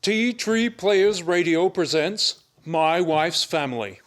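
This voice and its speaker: A man acts a formal radio announcer with a high-pitched voice. He delivers scripted, promotional introductions for a sponsored play. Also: animated, takes it slowly, fairly bright tone, faint music behind, exaggerated voice. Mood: grandly promotional.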